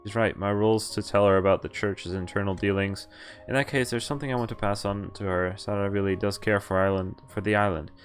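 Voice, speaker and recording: A man's voice: lowish voice, fairly even intonation, fairly quick. A man streaming a game, reading its story aloud, who often stumbles and corrects himself. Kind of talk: reading a visual novel aloud. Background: game music.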